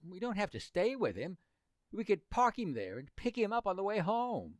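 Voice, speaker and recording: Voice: mid-range voice, big pitch swings, moderate pace, dry recording, close to an everyday voice. An actor playing an earnest, lovesick, hapless teenage boy in exclamatory, old-time radio comedy style. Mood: eager, scheming. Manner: exclamatory, dim-witted, earnest boyish slang.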